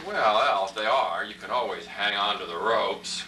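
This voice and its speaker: A man's voice: fairly low pitch, moderate pace, sharp tone. A man voicing an easygoing, slightly weary family dad in an amateur puppet show. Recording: echoey, noisy room from far off.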